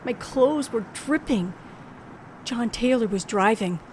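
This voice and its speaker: A woman's voice: a little high, animated, takes it slowly, a little mellow, slight background noise. An actress playing a frightened woman narrating her own ordeal in a 1940s-style radio thriller, dramatic and suspenseful. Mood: tense, shaken. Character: frightened young woman narrator held captive by killer. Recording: old radio drama with music behind.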